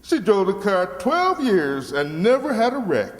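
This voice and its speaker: Shameless car dealer, wildly animated high voice, slow drawn-out patter, radio comedy, long hall reverb. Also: male voice. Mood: smooth salesmanship. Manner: theatrical, drawn-out sales patter. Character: folksy fast-dealing used-car salesman, smooth and shameless. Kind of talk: old-time radio comedy acting.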